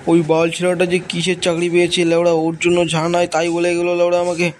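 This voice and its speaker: A man's voice: high voice, completely flat delivery, fast talker, music in the background, close to an everyday voice. A man voicing a bossy, crude club leader in a comic cartoon dub, domineering and cursing. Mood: indignant.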